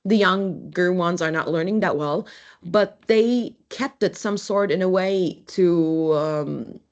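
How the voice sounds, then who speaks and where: A young adult woman from Turkey, giving a university lecture as an architect, speaking in a halting, conversational way with frequent restarts. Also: fairly low pitch, highs cut off. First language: Turkish.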